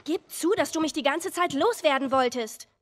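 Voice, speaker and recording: A woman voicing a feisty, inquisitive teenage girl survivor in a video game, blunt and sarcastic, with a husky voice. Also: high-pitched, normal speed, close to an everyday voice. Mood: defiant. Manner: sarcastic, questioning. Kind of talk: dramatic video game acting.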